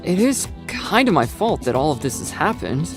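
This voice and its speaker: Animated, creaky-voiced story narrator. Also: mid-range voice, normal speed. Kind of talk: first-person dramatised scripted narration. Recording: dry, music behind. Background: background music.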